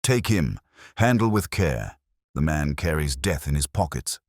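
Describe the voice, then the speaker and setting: A man's voice: rumbling low, big pitch swings, unhurried, no echo, studio quality, full character voice. A man voicing a keen, commanding detective with precise, theatrical, coolly triumphant delivery in an audio story. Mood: stern.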